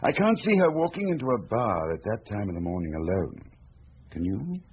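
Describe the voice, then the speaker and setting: An actor playing a detective's shrewd confidant in a radio drama, reasoning deliberately step by step. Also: male voice, fairly low pitch, sing-song. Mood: thoughtful, probing. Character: shrewd, measured male confidant questioning a detective. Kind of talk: radio drama acting, conversational. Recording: muffled radio drama, background hiss.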